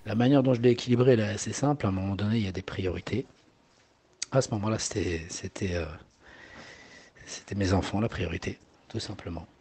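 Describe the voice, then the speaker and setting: Husky low-voiced man, halting. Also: a little mellow. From Morocco. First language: Arabic. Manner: hesitant, many fillers and repeated words. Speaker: singer. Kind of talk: interview answers.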